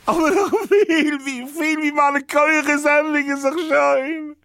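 A very high-pitched, self-pitying tyrant king acted out by a woman, injured and pleading. The words come very slowly, in drawn-out shouted wails, in a theatrical comic drama with a roomy sound kept to a minimum. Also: steady tone, clean studio sound, heavily acted. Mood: begging. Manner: wailing, drawn-out shouted complaints.

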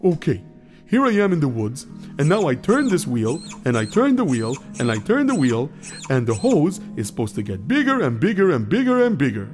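A cheerful, scatterbrained camp groundskeeper who rambles on without seeing the obvious. His voice sits a little high and swings all over the place. Soft music plays behind him in a children's audio drama. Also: huge pitch swings, moderate pace.

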